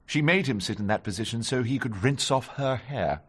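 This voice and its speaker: An actor playing a wry, sharp-witted ageing English defence barrister in a radio drama, droll and courtly. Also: male voice, mid-range voice, animated, brisk, husky. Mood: droll, persuasive. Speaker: lead character and narrator. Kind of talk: radio drama acting and narration.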